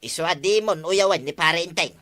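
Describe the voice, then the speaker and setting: Woman as a bright, lowish-voiced chatty villager. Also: a little fast, no room sound, studio quality, close to an everyday voice. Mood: scolding. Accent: Ilocano. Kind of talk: comedy drama acting, conversational.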